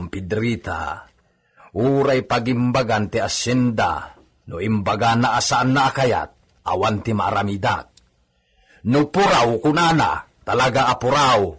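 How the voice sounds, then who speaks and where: A man plays a worried servant in a radio drama. His husky, mid-pitched voice sounds earnest and respectful. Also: a little slow, a bit bright. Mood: resigned, concerned. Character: deferential, worried male servant of a hacienda. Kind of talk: Ilocano radio drama acting.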